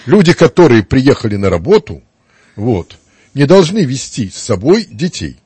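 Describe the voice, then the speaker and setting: A man hosting a live radio talk show, speaking in a measured, deliberate way with many fillers. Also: mid-range voice, very animated, mellow, breathy edge, dry recording.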